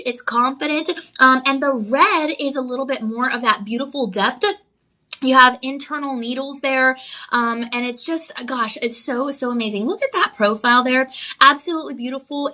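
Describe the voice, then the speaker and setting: Woman, live shopping host, slightly raspy, gushing, bright tense tone. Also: sits high. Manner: bubbly and effusive, gushing over the product. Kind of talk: live home shopping presentation.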